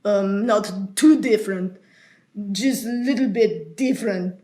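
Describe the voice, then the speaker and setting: A woman puppeteer casually demonstrating her craft, playful and quick to laugh. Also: medium pitch, mellow, echoey room. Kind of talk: casual teaching demo.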